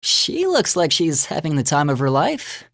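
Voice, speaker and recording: A woman voicing a mild, polite young student in a video game, gentle and a little hesitant. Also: sits low, big pitch swings, moderate pace, heavily acted. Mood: mild, unsure. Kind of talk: English video game voice acting.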